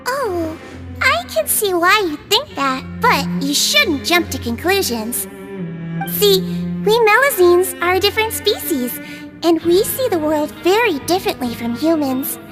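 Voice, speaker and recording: A little nonhuman nurse in an animated game. The voice is extremely high, sweet and childlike, with a gentle, polite, caring manner. Music is clearly heard behind it. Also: female voice, unhurried, full character voice. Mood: patient, explaining. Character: small sweet nonhuman creature nurse, caring and serenely odd. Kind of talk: animated video game dialogue.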